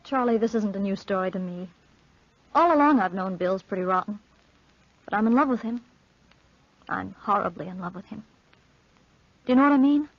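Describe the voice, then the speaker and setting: A tender, tormented young woman in love speaks with earnest melodrama. The pitch is middling, and the 1940s radio recording is muffled and dry. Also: animated, moderate pace, long pauses, dry recording. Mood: anguished, tender.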